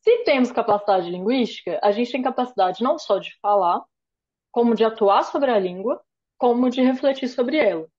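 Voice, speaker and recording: A woman's voice: on the high side, animated, moderate pace, darkish tone, muffled recording. A woman from Brazil giving an online lecture on language teaching. She explains step by step and keeps checking in with "né?". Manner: thoughtful, explanatory, frequent "né?" tags. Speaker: course lecturer.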